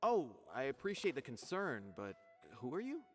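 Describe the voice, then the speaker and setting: A polite male investigator character in a video game. He explains things earnestly in a measured, mid-range voice. Soft music plays underneath. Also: medium pitch, huge pitch swings. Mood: polite, explaining.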